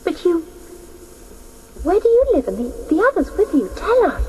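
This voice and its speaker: A woman playing a gentle, curious young girl from a legendary land in a radio drama, speaking earnestly and pleadingly. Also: very high-pitched, sing-song, moderate pace. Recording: faintly noisy old radio broadcast.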